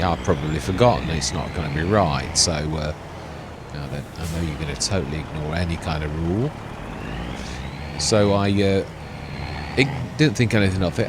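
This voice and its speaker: A quiet-voiced man hosting a farming game let's-play, chatting in a meandering, self-correcting way. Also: sits low. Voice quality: soft-spoken, quiet voice. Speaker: gaming channel host. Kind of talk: rambling let's-play commentary. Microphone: laptop gaming setup. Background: noisy computer hum.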